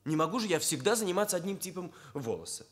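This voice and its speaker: A man's voice: sits high, big pitch swings, quick pace. An actor playing a self-serious young male hairdresser in a Soviet radio drama, with formal, bookish phrasing. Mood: matter-of-fact, defensive. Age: young adult.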